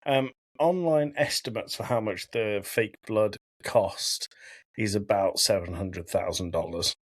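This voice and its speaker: A man's voice: lowish voice, a little slow, no room sound, studio quality. A man hosting a podcast, talking casually and giving his opinions in a conversational way. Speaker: podcast host. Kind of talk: casual discussion of a film.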